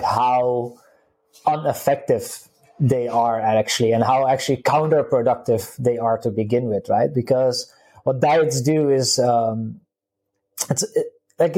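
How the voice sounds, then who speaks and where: A man's voice: medium pitch, warm tone, rough recording. A man in his thirties from the Netherlands with a Dutch accent, a guest talking casually in an interview, full of fillers and restarts. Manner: chatty, many fillers and restarts, laughs easily. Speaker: guest, vegan health coach.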